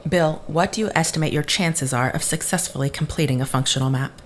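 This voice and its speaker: Woman playing a careful suspicious thinker, deep voice, formal questions, radio play. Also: brisk, a little hiss or hum, natural voice. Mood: questioning. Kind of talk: audio drama acting.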